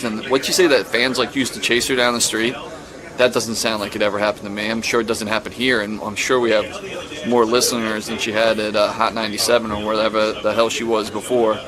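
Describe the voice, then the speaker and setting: Guy giving a blunt dismissive opinion, casual, busy crowd noise behind him. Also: mid-range voice. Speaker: interviewee.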